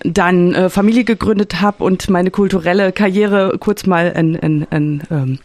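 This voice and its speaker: A woman's voice: on the low side, moderate pace, a little mellow, dry recording. Woman chatting as radio guest, dry sound. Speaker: choir singer, radio guest. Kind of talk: casual interview chat.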